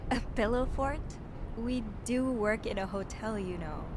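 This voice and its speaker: A woman's voice: fairly high pitch, a little slow, background noise, natural voice. A young woman playing an earnest 1950s hotel maid in a video game, sensitive and easily shaken. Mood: friendly, wry. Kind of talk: dramatic game cutscene acting.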